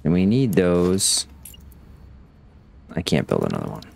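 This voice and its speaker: A man's voice: deep voice, wildly swooping pitch, measured pace, creaky, darkish tone, slight background noise. A man casually commenting on his factory-building game as he plays, thinking aloud as he checks production. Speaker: gaming channel host.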